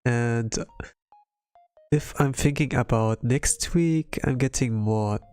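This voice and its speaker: Halting, tired man chatting over music. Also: medium pitch, darkish tone, smooth. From Europe. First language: not English. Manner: hesitant, halting, filler-heavy with frequent "like". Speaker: livestreamer. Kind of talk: casual rambling livestream chat. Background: background music.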